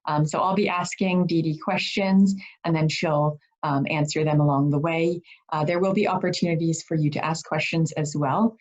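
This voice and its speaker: Low-voiced woman hosting with many fillers. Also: steady tone, normal speed, darkish tone, lo-fi sound. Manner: frequent "um" fillers, reads a prepared introduction. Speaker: gallery director and host. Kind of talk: hosting a virtual reception interview. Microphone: video call.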